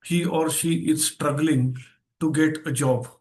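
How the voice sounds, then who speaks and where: A man's voice: on the high side, steady tone, measured pace, darkish tone, poor audio. A man with an Indian accent speaking as a guest trainer in an online seminar, stressing key phrases and putting questions to his listeners. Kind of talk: interactive online seminar talk. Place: online video session.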